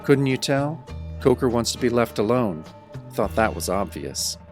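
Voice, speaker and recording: A man's voice: mid-range voice, expressive, unhurried, soft background music, lightly acted. A man playing a brooding young werewolf who narrates his own story in a wry, dramatic radio-drama style. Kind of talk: first-person audio drama narration, old radio show style.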